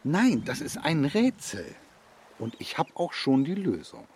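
A man's voice: fairly high pitch, wildly swooping pitch, measured pace, darkish tone, slightly rough, heavily acted. An actor playing a timid, hesitant foster father who stammers and evades in a children's radio play. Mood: pleased, explaining.

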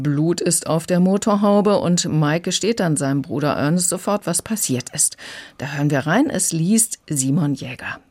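A woman's voice: low-pitched, a little mellow. A woman reviewing a book on the radio, summarising the story in a prepared, conversational way. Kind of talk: prepared plot summary in a two-person review.

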